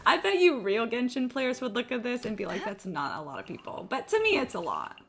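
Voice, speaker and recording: A woman's voice: on the high side, wildly swooping pitch, a little fast, gravelly. A woman chatting casually on a gaming livestream in a rambling, sweary, self-deprecating way. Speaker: live game streamer. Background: game music.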